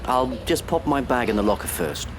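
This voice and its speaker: An actor playing a grumpy, snooty traveller in a comedy audio play, dry, curt and pedantic. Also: male voice, mid-range voice, sing-song, moderate pace, background noise, close to an everyday voice. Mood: curt, wary.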